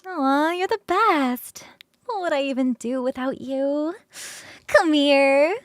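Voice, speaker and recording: A woman voicing a young succubus roommate in an ASMR roleplay, casual, animated, chatty and affectionate. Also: sits high, slow pace, dry recording, studio quality, voice pushed up.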